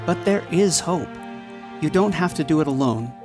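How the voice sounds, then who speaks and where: A man's voice: on the high side, expressive, normal speed, music in the background. A man reading a scripted podcast outro in an earnest, supportive manner. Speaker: podcast cast member and announcer.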